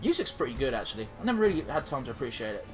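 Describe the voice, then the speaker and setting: A man's voice: mid-range voice, sing-song, very fast, music in the background. Chatty man with a blocked nose. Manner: chatty, swears casually, trails off with fillers. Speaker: gaming commentator. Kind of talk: casual let's-play commentary.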